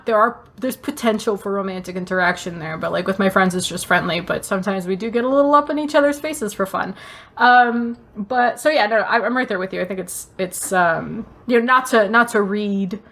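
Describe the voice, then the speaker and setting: A woman talks casually as a podcast co-host, with a medium-pitched voice. Her delivery is informal and rambling, with an animated, conversational flow. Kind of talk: casual chat about anime and stage plays.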